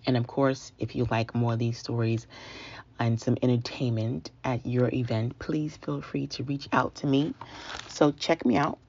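A woman from New York with an American accent, telling a personal story casually in a playful, joking manner with a husky, gravelly voice. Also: rumbling low, steady tone, moderate pace, a little mellow. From New York, USA. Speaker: storyteller, comedian and educator. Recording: dull, lo-fi voice recording.

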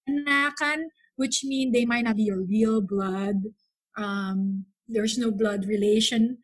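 A woman, talking thoughtfully as a podcast host with many pauses. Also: a little high, poor audio. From Philippines. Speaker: psychotherapist. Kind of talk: casual podcast chat.

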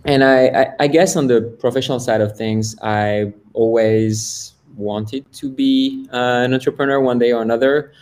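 A man's voice: mid-range voice, darkish tone. A man in his thirties from France, a guest on a video call, telling his story in a casual, hesitant way with many fillers and restarts. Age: 30s. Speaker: guest, software founder. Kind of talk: casual interview talk.

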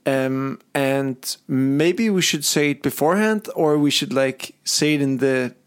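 A young man co-hosts a casual podcast and slips in dry, teasing jokes about his co-host. His voice sits at an ordinary middle pitch, relaxed and conversational. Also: unhurried, smooth. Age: young adult.

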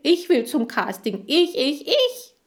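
Audiobook hamster, played by a woman: plump, self-important male, huffy, high and loud. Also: wildly swooping pitch, takes it slowly, heavily acted. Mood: indignant. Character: greedy, chubby male hamster Chan, easily offended.

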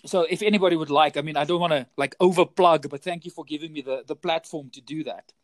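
South african man, afrikaans native speaker, fairly high voice, dry close mic, repeats and restarts. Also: fast, no room sound. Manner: chatty, repeats words and restarts often. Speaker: guest, teacher trainer. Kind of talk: casual podcast interview.

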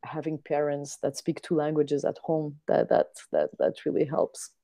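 Hesitant woman with a mellow voice. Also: low voice, level tone, normal speed, gravelly, dry recording, clean studio sound. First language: Portuguese. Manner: hesitant, many fillers and repeated words. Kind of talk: casual conversation.

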